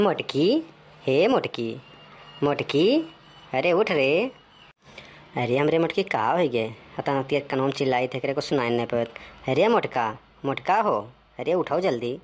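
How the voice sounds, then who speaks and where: A man's voice: medium pitch, close to an everyday voice. Man as a put-upon Awadhi village husband, noisy recording. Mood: impatient. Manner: exclamatory, theatrical rustic talk. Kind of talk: cartoon comedy dialogue.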